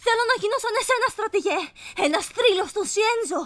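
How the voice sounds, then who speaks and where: A woman's voice: extremely high pitch, lively intonation, fairly bright tone. A woman voicing an eager, earnest young boy squire who looks up to his general. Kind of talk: fan dub of an animated short.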